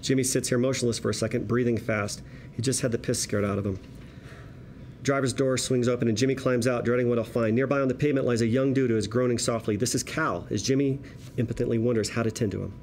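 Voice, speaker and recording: A man's voice: medium pitch, fairly even intonation, quick pace, a little mellow, a little hiss or hum. A man narrating stage directions from a script at a table read, in present-tense screenplay style.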